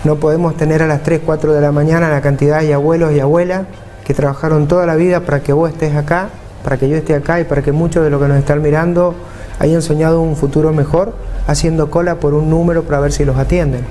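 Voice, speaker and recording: A man's voice: a little high, flat delivery, fast, mellow, a little hiss or hum. A man in his forties with an Argentine Spanish accent, answering a TV interview as a mayor running for office, earnest and repeating points for emphasis. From San Juan, Argentina. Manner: earnest, repetitive for emphasis, speaks with his hands. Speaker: mayor and vice-governor candidate. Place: TV studio, seated at a table.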